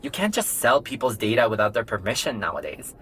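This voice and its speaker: A man's voice: fairly low pitch, big pitch swings, quick pace, pushed and bright, husky, exaggerated voice, rough recording. A man playing an exasperated bank IT worker in a podcast drama, explaining things insistently. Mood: indignant. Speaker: actor in an audio drama. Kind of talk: acted podcast drama dialogue.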